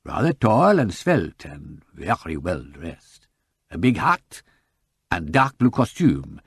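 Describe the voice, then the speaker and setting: A man's voice: low-pitched, very animated, slow, husky, dry recording, studio quality, full character voice. A man voicing a chatty young Frenchwoman working as a shop assistant, with a French accent and a raised, lilting voice. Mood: thoughtful. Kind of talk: audiobook character voice.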